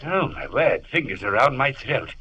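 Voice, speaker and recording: An actor playing a timid, nervous hotel clerk who stammers, on a hissy 1940s radio recording. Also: male voice, mid-range voice, big pitch swings, normal speed, sharp tone, lo-fi sound. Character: timid hotel clerk, adult man, easily frightened.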